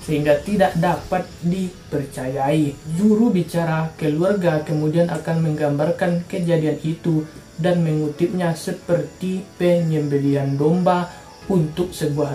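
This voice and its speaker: A woman's voice: low voice, dark tone, reverberant. A woman from Indonesia telling true-crime stories for her channel in a casual, slangy narrating style.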